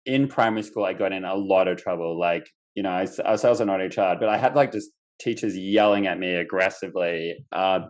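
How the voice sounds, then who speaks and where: A man's voice: sits low, fast talker, few pauses. A young man from Australia, a guest on an interview podcast, telling his story casually with lots of fillers and restarts. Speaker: guest entrepreneur.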